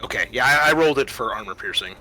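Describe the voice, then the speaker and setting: A man's voice: lowish voice, animated, racing, bright, forceful tone, faint music behind, poor audio. A man acting as game master, narrating a tabletop roleplaying game in a conversational way.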